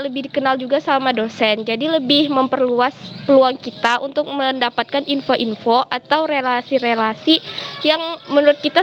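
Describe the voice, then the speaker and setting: A young woman with a high voice answers interview questions as a student guest. She talks in a chatty, explanatory style, with faint noise in the recording. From Jambi, Indonesia. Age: early 20s. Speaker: student guest sharing exchange experience. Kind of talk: casual informative interview answers.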